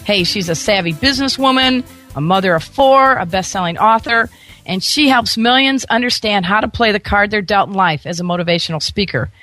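A woman hosting a radio interview show, husky and hoarse from laryngitis, chatty with playful quips. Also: mid-range voice, a little fast, fairly bright tone, faint music behind. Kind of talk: upbeat radio interview chat.

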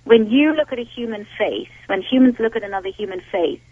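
A woman's voice: on the high side, animated, a little fast, husky, no room sound. A woman dog trainer giving advice as a guest on a call-in radio show, explaining with plenty of fillers and restarts. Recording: tinny phone line, dry.

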